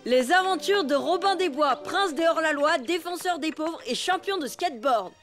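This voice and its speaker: A woman's voice: high-pitched, lively intonation, normal speed, a bit bright. A woman voicing a bossy, boastful young elephant boy in a children's cartoon, with a theatrical, grandiose way of talking. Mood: grandly theatrical. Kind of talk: animated children's cartoon acting. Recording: music behind the voice.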